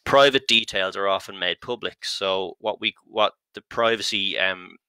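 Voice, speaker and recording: Chatty Dublin man, deep dry voice. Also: moderate pace, sharp tone, clean tone, no room sound. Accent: Dublin Irish. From Ireland. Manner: chatty, frequent ums and restarts. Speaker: genealogy company content strategist presenting a webinar. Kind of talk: live-streamed online talk.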